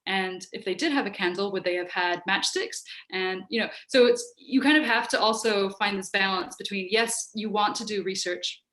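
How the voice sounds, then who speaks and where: A woman in her thirties, a native Hungarian speaker, talking informally and haltingly as she answers questions as a writer on a video call. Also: medium pitch, fairly bright tone, low-quality recording. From United States, Hungarian-speaking home. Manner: thoughtful, halting, thinking aloud. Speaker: writer giving an online workshop. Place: book-lined room at home. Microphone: video call, wearing headphones.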